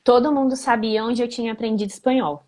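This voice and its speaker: Casting: woman guest, fairly high voice, fast chatty storyteller. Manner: lively, fast anecdotes with laughs. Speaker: guest, former exchange student. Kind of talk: casual storytelling interview.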